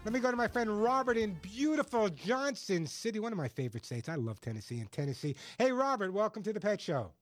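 A man with a New York accent hosting a call-in radio show, chatty and quick to laugh, with stumbling restarts. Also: high-pitched, sing-song, faint music behind.

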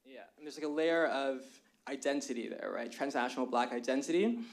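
A slightly hoarse man moderating a live panel discussion in front of an audience, laying out the plan in a structured, explanatory way. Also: mid-range voice, fairly quick. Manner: structured, explanatory, with fillers like "kind of". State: slightly hoarse from singing the night before. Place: lecture room with a live audience.

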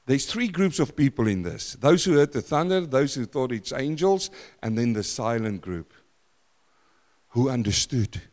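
A man preaching a sermon in a church, loud and emphatic, swinging from forceful declarations to hushed, quiet lines. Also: mid-range voice, lively intonation, a little slow. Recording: long hall reverb.

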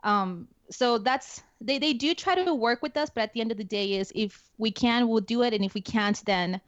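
Spanish-accented woman, halting and thoughtful. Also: fairly high pitch, no room sound. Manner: hesitant, many fillers and restarts. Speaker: guest, security forces NCO. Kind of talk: casual interview talk. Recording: dull lo-fi dry sound.

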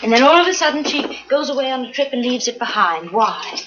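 An actress playing a sharp, confident society woman, speaking brisk, emphatic classic Hollywood dialogue. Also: sits high, very animated. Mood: confident, insistent. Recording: dull old film soundtrack, loud noise.

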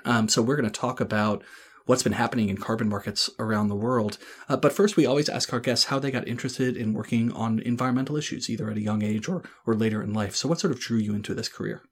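A man's voice: lowish voice, husky. A man hosting a podcast, asking questions in a friendly, conversational way. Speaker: podcast host. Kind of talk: podcast interview.

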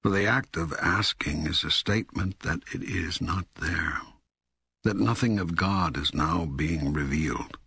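An actor reading God in an audiobook dialogue as a calm, authoritative teacher, with measured, solemn delivery and deliberate emphasis. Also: male voice, low voice, creaky, breathy edge, no room sound, studio quality, heavily acted. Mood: solemn, instructive. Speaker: audiobook co-narrator.

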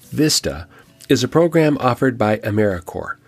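A man's voice: medium pitch, very animated, normal speed, slightly rough. A man hosting a church podcast, telling a guest's story in scripted narration with a reflective, lightly joking manner. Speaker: podcast host and church pastor.

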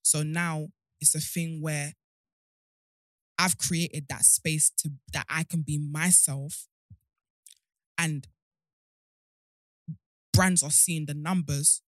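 A woman's voice: sits low, fairly even intonation, takes it slowly, pauses often, dry recording, clean studio sound. A young woman, talking openly and outspokenly as a guest on a podcast, often jumping from one point to the next. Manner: candid, outspoken, jumps between points. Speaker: guest, show host. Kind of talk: casual podcast chat.

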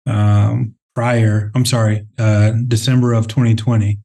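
Mellow-voiced man giving measured interview answers. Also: lowish voice, level tone, vocal fry. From Minnesota, Twin Cities. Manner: thoughtful, many fillers and restarts. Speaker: real estate CEO, interview guest.

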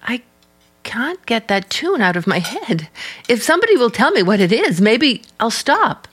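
Casting: earnest young niece for vintage radio thriller, very expressive, insistent. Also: female voice, on the high side, normal speed, a little hiss or hum. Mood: puzzled, insistent. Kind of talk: mid-century American radio drama acting.

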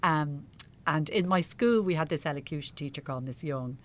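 Middle-aged woman, Irish accent, low pitch, casual interview guest, chatty, self-deprecating. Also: animated, a little fast. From Ireland. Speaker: interview guest, amateur actor. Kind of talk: casual chat, anecdotes.

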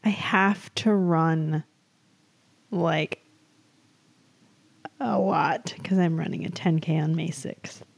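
A woman's voice: low voice, slow pace, vocal fry, pauses often, slightly rough. A woman co-hosting a podcast, chatting in a giggly, informal way. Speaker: podcast co-host. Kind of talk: casual chat.

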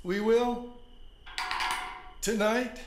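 Man playing a bullying, high-pitched prison guard. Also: fairly even intonation, extremely slow, noisy background. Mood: sneering menace. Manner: barking, sneering orders. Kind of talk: audio drama acting. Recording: echoey room.

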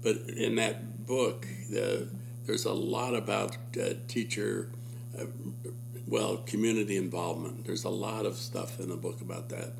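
A man's voice: fairly low pitch, steady tone, measured pace, vocal fry, background noise. A man interviewing a teacher, encouraging her and praising her answers. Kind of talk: interview conversation.

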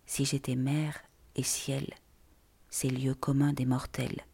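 A narrator reads poetic prose in a hushed, deliberate, breathy voice that often drops to a near whisper. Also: mid-range voice, little pitch movement. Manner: slow, hushed, deliberate delivery. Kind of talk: literary reading of poetic prose.

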